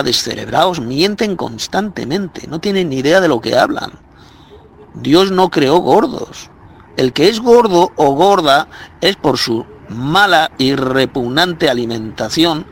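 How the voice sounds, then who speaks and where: A man's voice: mid-range voice, fairly bright tone. Man, scornful preaching, harsh and emphatic, faint noise behind.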